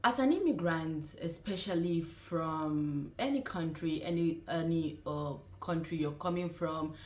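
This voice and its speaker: A woman's voice: sits low, a little slow, darkish tone. A young woman from Nigeria with a Nigerian accent, chatting casually as a vlogger giving advice, often circling back to rephrase as she explains. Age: young adult. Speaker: vlogger and nursing student. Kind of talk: casual direct-to-camera advice chat. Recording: roomy, echoing sound.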